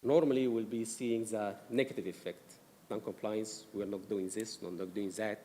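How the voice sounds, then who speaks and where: A man's voice: on the low side, fairly even intonation, moderate pace, mellow. Egyptian-accented man presenting in an echoey hall. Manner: explanatory, frequent "uh" fillers, careful pacing. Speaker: risk management presenter. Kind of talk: council workshop presentation. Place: council meeting room. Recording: distant mic in a reverberant room with noise.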